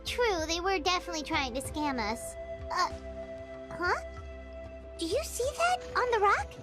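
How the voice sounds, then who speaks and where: A woman voicing a tiny, childlike female fairy companion in a video game, squeaky, excitable and fretful. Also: unhurried, heavily acted. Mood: curious. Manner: childish, talks in third person. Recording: game music behind the voice.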